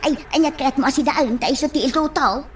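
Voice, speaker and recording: A woman acting in an amateur radio drama, talking in quick exclamations. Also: high voice, slight background noise.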